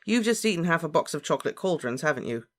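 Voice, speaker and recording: A man's voice: a little high, very animated, quick pace, a bit bright, voice pushed up. A man voicing a practical, exasperated teenage boy wizard with an emphatic, impatient delivery for a storybook. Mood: puzzled, concerned.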